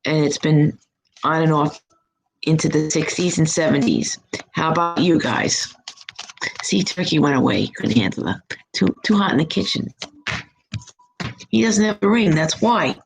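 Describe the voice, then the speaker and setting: Hoarse middle-aged lady rambling on a live stream, gravelly husky voice, bit of faint noise behind. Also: deep voice, lively intonation, unhurried, long pauses. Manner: chatty, rambling, upbeat. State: hoarse, losing her voice. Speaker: psychic reader hosting a live stream. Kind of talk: casual live-chat monologue. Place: at home.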